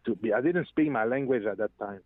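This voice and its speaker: A man's voice: medium pitch, brisk, no room sound. Hesitant man on a tinny phone line. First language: French. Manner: hesitant, searching for English words, many restarts. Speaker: guest singer. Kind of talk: interview over the phone. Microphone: telephone.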